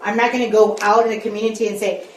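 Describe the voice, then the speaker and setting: A woman's voice: medium pitch, flat delivery, very fast, slight background noise. A woman school board member speaking candidly, coaching colleagues through a group workshop in a meeting room. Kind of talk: workshop discussion. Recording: echoey room, distant mic.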